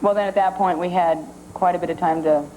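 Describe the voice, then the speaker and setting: A woman's voice: on the low side, fairly even intonation, fairly quick, mellow, slight background noise, rough recording. A woman contestant on a dating show, chatting freely and laughing often. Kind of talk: casual talk-show chat. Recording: old TV studio broadcast.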